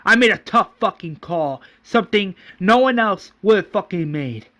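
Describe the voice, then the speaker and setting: Man acting a ruthless, forceful town leader. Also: on the high side, normal speed. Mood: angry, defiant. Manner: forceful, profane, emphatic. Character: ruthless, defiant male leader of a survivor town.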